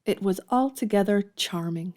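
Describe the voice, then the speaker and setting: A woman's voice: mid-range voice, moderate pace, a little mellow. A woman giving scripted literary narration for an audio drama.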